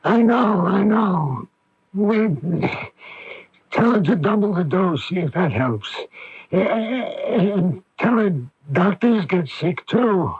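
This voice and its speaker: An actor playing a weary, kindly older family doctor who grumbles in an avuncular way, in a radio drama. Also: male voice, sits high, expressive, slow, slightly rough, no room sound, heavily acted. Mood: weary, grumbling. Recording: dull lo-fi vintage radio broadcast.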